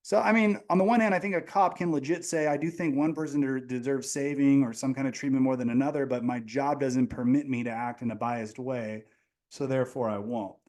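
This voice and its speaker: A man hosting a podcast, making his case in a reasoned, measured way with casual swearing. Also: medium pitch, fairly even intonation, a little mellow. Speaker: podcast host. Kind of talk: conversational interview.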